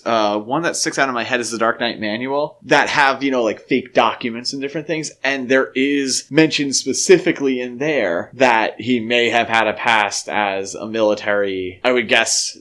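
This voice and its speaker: Male podcast co-host, mid-pitched, chatting casually about films and thinking out loud. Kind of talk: casual film discussion.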